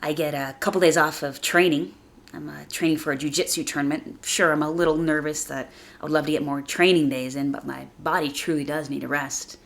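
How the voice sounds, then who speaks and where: Female podcast host, deep register with gravelly fry, a bit congested from a chest cold; earnest, informal motivational monologue. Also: fairly even intonation, fairly quick. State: slightly raspy from a chest cold.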